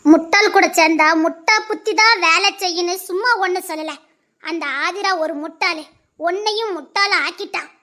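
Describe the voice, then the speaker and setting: A woman's voice: extremely high pitch, normal speed, sharp tone. An actress playing a mother who scolds her daughter and preaches about bad company at length. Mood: scolding. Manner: scolding, moralizing, in long proverb-like sentences. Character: stern mother lecturing her grown daughter.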